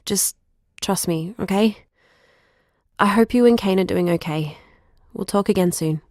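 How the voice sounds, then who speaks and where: A woman's voice: mid-range voice, a little slow, a little mellow, no echo, clean studio sound, natural voice. A woman playing a protective sister in an audio drama, leaving a hesitant, guarded warning by voicemail. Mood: anxious, guarded.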